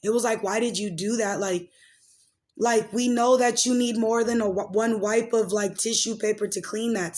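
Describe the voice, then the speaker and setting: Woman, late twenties, casual podcast host, slangy, rambling commentary, mid-pitched voice. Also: darkish tone. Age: late 20s. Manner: rambling, slangy, peppered with "like" and "freaking". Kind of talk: casual gossip commentary and personal chat.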